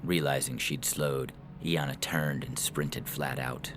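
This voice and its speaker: Narrator for dramatic fiction: very deep male voice, deliberate and measured, over prominent music. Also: fairly even intonation, a bit bright. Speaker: story narrator.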